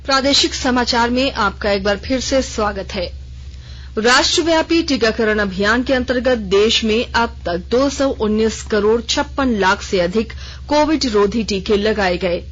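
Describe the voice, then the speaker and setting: A woman newsreader reading a formal regional radio news bulletin in a measured, even way. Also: a little high. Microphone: studio microphone. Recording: dull lo-fi radio broadcast.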